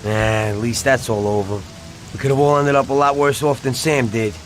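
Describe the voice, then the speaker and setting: An actor voicing a tough, hot-tempered mobster in a video game cutscene, with a blunt, streetwise way of talking. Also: male voice, medium pitch, steady tone, normal speed, faint music behind, natural voice, rough recording. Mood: bitter, weary. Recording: rain and noise behind.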